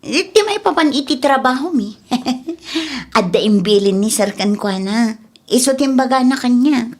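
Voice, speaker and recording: A woman plays a jealous young Ilocano girlfriend in a comedy drama. Her voice sits high and turns whiny and accusing as she frets. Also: lively intonation, measured pace. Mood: flustered. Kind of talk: audio comedy-drama acting.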